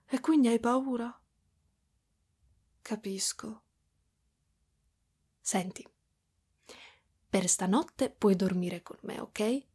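Woman playing a slow, breathy, doting spider mother. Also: fairly high pitch, full character voice. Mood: tender, reassuring. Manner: soft, cooing, hushed, drifting into whispers. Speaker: ASMR roleplay performer. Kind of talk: intimate ASMR roleplay in Italian.

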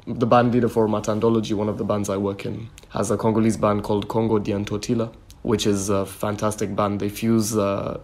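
A man from Kenya speaks in a low, even tone that rarely climbs. He sounds relaxed and thoughtful, and often pads his sentences with "you know" as he talks about his craft. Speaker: musician guest. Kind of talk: interview answers.

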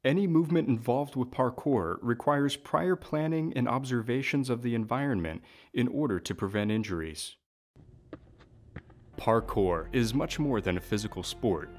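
A man's voice: mid-range voice, expressive, normal speed. A man reading informative scripted narration as a documentary voice-over.

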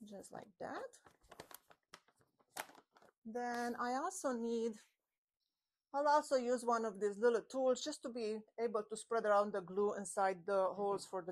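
A slightly high-voiced woman in her forties walks through a woodworking build in a casual, chatty way. She talks while she handles boards and glue, her clip-on mic catching her in a home workshop with the garage door open. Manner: chatty, explains while working with her hands. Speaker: woodworking channel host. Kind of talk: step-by-step workshop tutorial. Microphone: small clip-on mic on her shirt.

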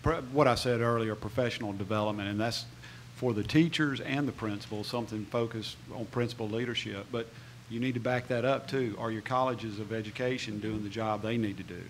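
An older man with an American accent making halting, unscripted remarks as a conference panelist at a table microphone. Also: fairly low pitch, steady tone. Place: large conference ballroom stage. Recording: live conference PA with faint room noise.

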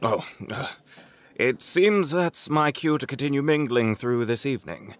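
A man's voice: mid-range voice, lively intonation, measured pace, no echo, natural voice. An actor playing an avuncular older city mayor in an audio drama, hearty and fatherly. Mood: apologetic.

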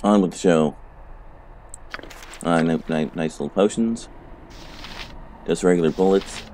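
A man's voice: low voice, measured pace, background noise. A man with a sore throat giving laid-back, profane commentary while playing a game. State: sore throat, a little hoarse. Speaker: let's play host. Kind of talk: casual gameplay commentary.

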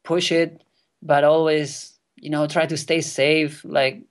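A young man in his twenties, a non-native English speaker whose first language is Catalan, telling a wild kayaking story as a guest, excited and swearing freely. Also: a little high, slow. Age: 20s. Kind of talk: casual storytelling interview. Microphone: remote call.

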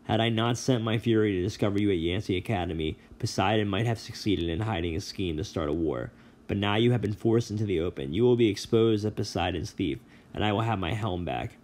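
Guy doing a furious underworld god, bellowing, kinda put-upon, low voice. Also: fairly quick, a little mellow, natural voice. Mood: menacing. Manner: bellowing, harried and threatening. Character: furious aggrieved male god of the dead. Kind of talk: audiobook reading.

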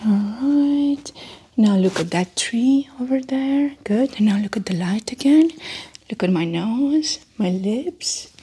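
Slow, whispery soft-spoken ASMR woman. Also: a little high, animated, slight background noise. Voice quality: soft, breathy, often whispered. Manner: gentle, reassuring, step-by-step instructions. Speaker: ASMR performer playing a doctor. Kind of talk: soft-spoken ASMR medical exam roleplay.

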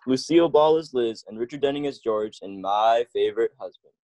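A man's voice: mid-range voice, fairly quick, very noisy. A man announcing a scripted old-time radio comedy as its narrator.

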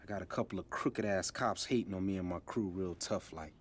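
A man's voice: sits low, fairly quick, slight background noise, close to an everyday voice. A man playing a swaggering street rapper. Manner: street slang, swaggering, animated. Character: young man, streetwise hip hop artist, cocky. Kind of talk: video game cutscene acting.

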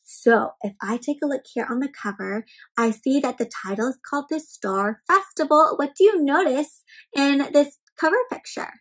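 A young woman with a high voice hosts story time for little kids. She speaks in an animated, expressive way, pausing to ask the children questions as she reads. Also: poor audio. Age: young adult. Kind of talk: interactive read-aloud for young children. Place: small indoor room at home with bookshelves and plants.

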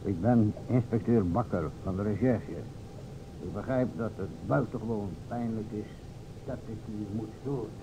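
An actor playing an earnest, insistent police inspector in an old radio play, formal and deliberate with hesitations. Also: male voice, lowish voice, lightly acted. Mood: apologetic, solemn. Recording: tinny, noisy old radio recording.

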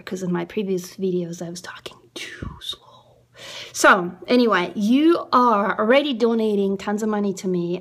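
Playful Russian-accented woman, close to camera. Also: a little high. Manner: goofy and theatrical, swinging between whispers and excited squeals. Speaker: indie writer and vlogger. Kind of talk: playful casual vlog to camera. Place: small room indoors at home. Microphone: phone or webcam close to the face.

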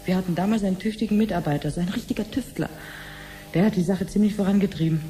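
An actress playing an obliging clinic researcher in a 1970s radio play, explaining things patiently and conversationally. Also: female voice, medium pitch, fast, dark tone, slight background noise, close to an everyday voice. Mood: matter-of-fact, explaining. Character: chatty, obliging female clinic researcher showing her sister around.

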